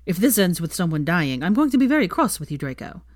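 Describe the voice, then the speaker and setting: A flippant young gentleman wizard from a storybook, played by a woman reader, prim and droll. He speaks politely with an amused air, in a close, dry sound. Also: on the low side, very animated, fast talker, no room sound.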